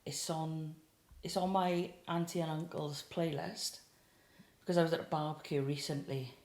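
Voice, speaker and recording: A woman's voice: deep voice, moderate pace. Chatty Welsh woman in her late twenties. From South Wales. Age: late 20s. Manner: chatty, relaxed, with frequent fillers. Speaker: guest singer-songwriter. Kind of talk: casual interview chat. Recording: roomy echo.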